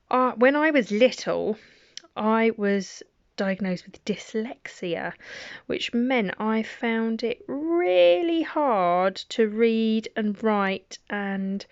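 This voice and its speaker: A woman's voice: a little high, clear, resonant tone, no echo, highs cut off. A middle-aged woman reading children's poems aloud at bedtime, with playful, chatty asides between the poems.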